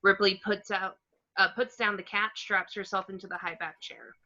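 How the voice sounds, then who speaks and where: Woman reading stage directions, bright punchy voice, slightly muffled lo-fi mic. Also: medium pitch, fairly even intonation, normal speed. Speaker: reader of the action description. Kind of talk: scripted screenplay narration. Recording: dull, lo-fi sound.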